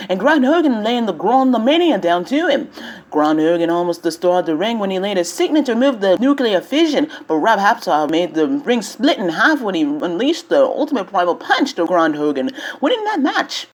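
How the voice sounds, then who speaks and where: A spirited woman reports on cartoon wrestling as played by a woman. She is blunt and gleeful, with widely swinging intonation as she recaps the action. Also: mid-range voice. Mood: upbeat, excited. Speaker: field reporter. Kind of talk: animated sports recap.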